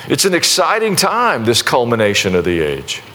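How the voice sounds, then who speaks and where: Male pastor, middle pitch, folksy conversational sermon with restarts, faint background noise. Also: wildly swooping pitch, normal speed, bright, forceful tone. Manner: conversational, folksy, self-deprecating with many restarts. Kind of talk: sermon and prayer to a congregation.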